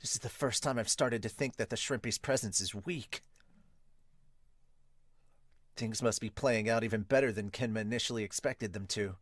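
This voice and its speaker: A man voicing a brooding young male volleyball player in a manga dub, subdued, measured and analytical. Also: fairly low pitch, a bit bright, dry recording. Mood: pensive.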